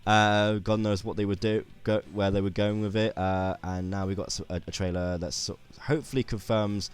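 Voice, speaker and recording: A man's voice: lowish voice, little pitch movement, moderate pace, smooth. A man with a sore throat, rambling through a casual gaming commentary with many restarts and fillers.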